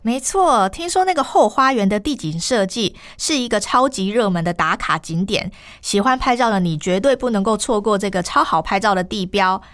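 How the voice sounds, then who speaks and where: A woman's voice: medium pitch, animated, moderate pace, hardly any pauses, fairly bright tone. Enthusiastic woman host with Taiwanese Mandarin. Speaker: host interviewing a guest. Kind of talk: scripted upbeat cultural travel radio programme.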